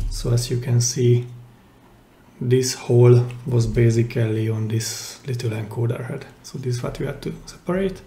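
A man giving a hands-on, step-by-step repair tutorial in simple, careful phrases with pauses mid-sentence, recorded indoors at a workbench. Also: medium pitch, gravelly, warm tone. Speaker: DIY repair channel host. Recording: roomy, echoey indoor sound.

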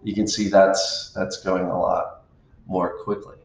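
A man's voice: deep voice, little pitch movement, unhurried, vocal fry. An older man who works in state GIS explains a mapping app in a casual screen-share tutorial. He is plain-spoken and thinks aloud while he clicks. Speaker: state GIS staff presenter. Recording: roomy, slightly dull home recording.

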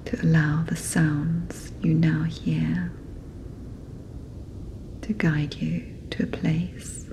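A woman narrating a guided sleep meditation in a soft, breathy, whispery, soothing manner. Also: sits low, little pitch movement, very slow, long pauses, slight background noise, poor audio.

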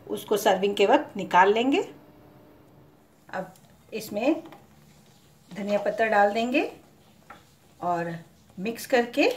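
Calm woman cooking host in roomy kitchen. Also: fairly high pitch. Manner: calm, instructive, mixes English cooking words into Hindi. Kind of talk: step-by-step recipe narration.

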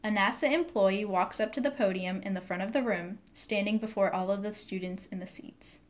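A woman's voice: mid-range voice, a little fast. A woman reading stage directions as the narrator of a scripted drama.

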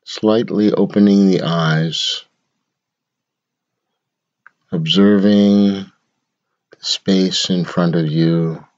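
A man leading a guided meditation in a measured, instructional way, speaking in short phrases with long pauses. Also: low voice, steady tone, darkish tone, muffled recording.

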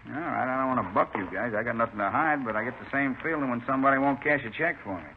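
An actor playing a prickly, wisecracking small-shop owner in a 1950s radio drama, breezy, slangy and sardonic. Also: male voice, medium pitch, quick pace, lightly acted. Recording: tinny 1950s radio recording, faint noise.

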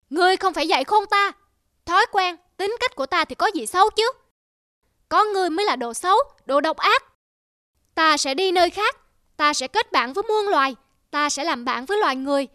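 Woman voicing a proud, high-pitched cartoon wolf. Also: expressive, brisk, pushed and bright, dry recording, clean studio sound, heavily acted. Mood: angry, defiant. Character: stubborn, proud, wounded young wolf. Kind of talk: cartoon fable dubbing.

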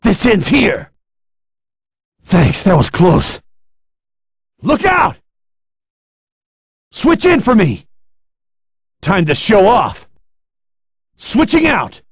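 An actor playing an earnest young martial-arts hero in an anime fighting game, with an intense, emphatic delivery. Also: male voice, sits high, wildly swooping pitch, slow pace, long pauses, husky, dry recording, clean studio sound, heavily acted. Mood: determined.

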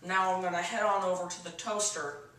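A teenage boy hosting a mock cooking show in his home kitchen, giving earnest step-by-step instructions for an absurd recipe in a deadpan tone. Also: high-pitched, fairly quick, background noise. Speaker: host of a home cooking parody. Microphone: camera mic across the kitchen. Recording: echoey kitchen, camera a bit far.

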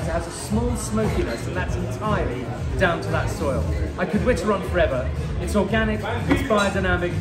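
A middle-aged man giving an enthusiastic standing wine toast at a dinner, recorded from a distance in a large restaurant. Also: high-pitched. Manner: expressive, enthusiastic storytelling, standing and gesturing with a glass. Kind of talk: after-dinner toast speech. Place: large restaurant dining room. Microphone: far camera. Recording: echoey room, loud music behind. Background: music.

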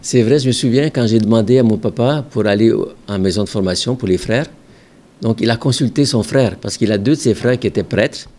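An older man, a religious brother and school director from Ontario, Canada, calmly recalling his past in a measured, reflective way with some hesitations, seated at his office desk with a microphone in front. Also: on the low side. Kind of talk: calm recollecting interview answers.

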